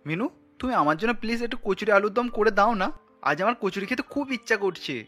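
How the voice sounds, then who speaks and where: A picky, whining husband from a family cartoon, voiced by a man. The voice is high and bright, complaining like a fussy grown son, with music softly underneath. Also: lively intonation, a little fast.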